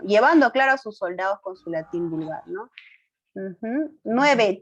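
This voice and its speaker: A woman teacher leads an online class through quiz answers, her pitch sitting in an ordinary middle range. She keeps asking the students questions and nudging them toward the answer, confirming with short hums, and stops to correct her own mistakes with an apology. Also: lo-fi sound. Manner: questioning and coaxing students, fillers like "ujú"; corrects herself aloud, apologetic.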